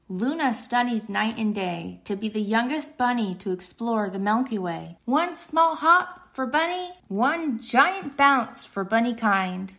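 A woman reading a children's story aloud in an expressive, deliberate storytelling manner. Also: a little high, vocal fry.

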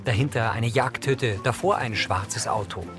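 Matter-of-fact male narrator over loud music. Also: medium pitch, a little slow. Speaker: audio description narrator. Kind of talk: scripted scene narration.

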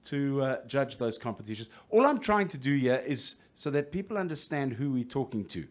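A middle-aged man with a South African accent hosting a radio interview, jokey and lively, often restarting his sentences. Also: mid-range voice, normal speed. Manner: jokey, lively, restarts a lot, plugs the station. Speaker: radio host.

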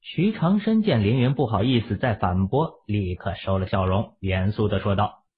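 Expressive male narrator, husky and phone-like. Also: lowish voice, moderate pace. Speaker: audiobook narrator. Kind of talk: expressive scripted novel narration. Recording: muffled, phone-like narrow sound.

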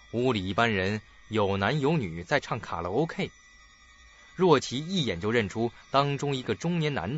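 Low-voiced male drama narrator. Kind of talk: dramatic scripted story narration. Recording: muffled dull recording with loud music.

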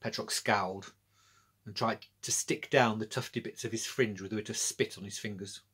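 A man's voice: lowish voice, steady tone, normal speed, a bit bright, slightly rough. A middle-aged British man narrating a children's story as a storyteller. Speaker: author and storyteller. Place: small indoor room with bookshelves.

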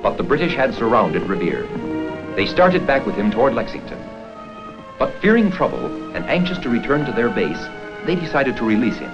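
A man reading scripted documentary narration for an educational history film in a formal, measured storytelling manner. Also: medium pitch, very animated. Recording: dull 16mm film soundtrack with music.

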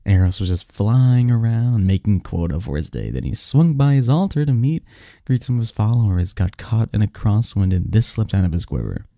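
A man's voice: fairly low pitch, lively intonation, normal speed, dark tone, no room sound, studio quality. A man playing a snarky, cynical young research assistant in an audio drama, talking conversationally with a scoffing, pedantic manner and small stumbles. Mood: teasing, sarcastic.

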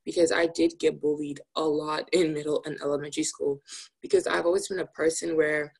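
A woman's voice: low voice, gravelly, husky, poor audio. A teenage girl from Ghana talking earnestly on a video call from a small room, with frequent restarts. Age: teens. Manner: earnest, animated, quick restarts. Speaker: guest. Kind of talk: casual video call chat. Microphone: phone at arm's length on video call.

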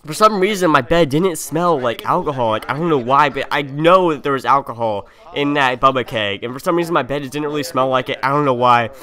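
A young man with a medium-pitched voice narrates a personal story as a streamer would. His delivery is informal and full of slang, and he slips into quoted dialogue. He speaks close to the mic in an indoor room. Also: a little fast, hardly any pauses, bright, forceful tone. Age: young adult. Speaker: streamer telling a story over gameplay. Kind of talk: casual storytelling. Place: indoor room at a gaming setup.

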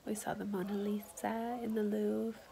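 A woman's voice: medium pitch, flat delivery, moderate pace, vocal fry, dark tone, slight background noise. A young American woman in a casual, slangy video diary, talking into a phone at arm's length. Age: young adult. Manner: slangy, chatty. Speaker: travel vlogger.

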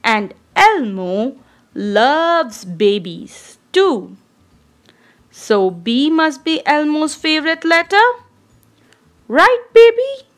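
A woman reading a children's storybook aloud in an expressive, deliberate, child-directed way. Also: high voice. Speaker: storybook reader.

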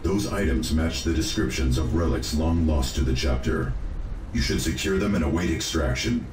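An actor playing a grave, formal military subordinate in a video game, speaking in a measured way. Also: male voice, a bass voice, expressive. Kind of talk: video game character acting. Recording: echoey with clear background noise.

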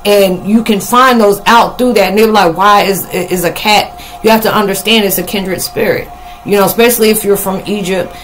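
A middle-aged American woman talking casually to camera in a rambling, conversational monologue with halting asides. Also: medium pitch, steady tone, poor audio. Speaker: spiritual vlogger. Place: living room, indoors.

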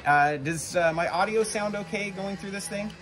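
An American man chatting casually as a ham radio operator and video host, halting and informal. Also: high voice. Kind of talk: casual ham radio chat and vlog narration. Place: covered backyard patio, outdoors. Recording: faint outdoor background noise.

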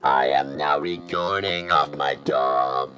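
Very deep robotic talk box croon. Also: male voice, fairly even intonation, slow, bright, forceful tone, soft background music, heavily acted. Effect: talk box synth effect. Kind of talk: sung demonstration.

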